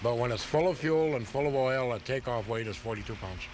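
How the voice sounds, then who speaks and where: A man's voice: mid-range voice, brisk. A man casually explaining his hobby as a radio interview guest, in a matter-of-fact way. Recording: noisy home tape of live radio.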